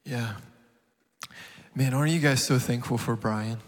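A man's voice: mid-range voice, measured pace, a little hiss or hum. A man in his thirties speaking earnestly from the stage, often repeating words as he builds his point. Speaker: guest speaker. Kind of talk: heartfelt sermon. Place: large church hall. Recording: reverberant hall.

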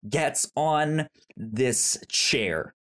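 A man with a mid-pitched voice talks casually about films as a podcast co-host. His delivery is slow and marked by a pronounced stutter, with repeated sounds and syllables. He rambles along enthusiastically. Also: animated, a bit bright. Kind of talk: casual film discussion.

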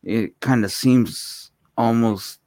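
A man's voice: medium pitch, fairly even intonation, takes it slowly, a little mellow, no room sound, clean studio sound. A man chatting casually as a radio co-host, mild and somewhat hesitant. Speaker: radio show co-host running playback. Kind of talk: casual chat.

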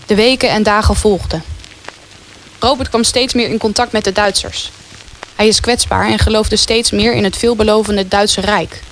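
A woman's voice: medium pitch, fairly even intonation, moderate pace, a little hiss or hum. A woman reading scripted narration as the storyteller of a historical radio play.

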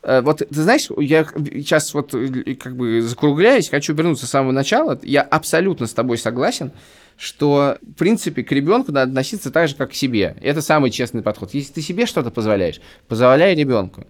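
A man's voice: mid-range voice, lively intonation, a little fast. A man in his thirties co-hosting a podcast, chatting casually and emphatically, often repeating and rephrasing himself. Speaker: podcast co-host. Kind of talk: casual opinionated podcast chat.

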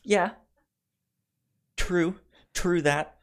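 A man's voice: high voice, very slow. A man hosting a podcast in casual chat about movies, often stammering and repeating words as he gets into a sentence. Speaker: podcast host.

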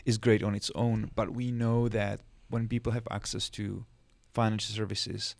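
A man talks through his work in a measured, explanatory way. His voice sits low with a gravelly edge and stays flat in tone. The sound is close and dry. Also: no room sound. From Czech Republic. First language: Czech. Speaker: guest, financial sector specialist. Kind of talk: podcast interview.

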